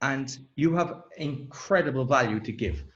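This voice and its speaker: A man's voice: mid-range voice, lively intonation, moderate pace, a bit bright, highs cut off. A man hosting a speaking podcast, talking casually and reassuringly, often repeating words as he starts a sentence. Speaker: podcast host. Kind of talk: casual interview.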